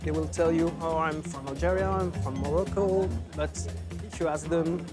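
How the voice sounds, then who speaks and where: A man's voice: on the high side, fairly even intonation, moderate pace. A man, a guest sports writer explaining things earnestly. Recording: music playing behind.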